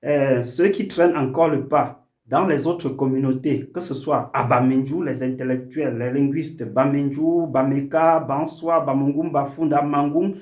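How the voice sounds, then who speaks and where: A man's voice: mid-range voice, steady tone, a little slow, a little mellow, echoey room. A man who hosts a video channel and makes a formal, earnest appeal to his community, with frequent hesitations. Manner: formal, oratorical, many hesitations. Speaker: language activist and YouTube channel host.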